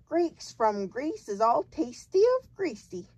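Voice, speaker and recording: Lady reading aloud and putting on a big booming giant guy, loud and jolly, slow and drawn out, twisting his words into silly made-up ones. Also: very animated, husky, no room sound, full character voice. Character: huge booming male giant, jolly and ungrammatical.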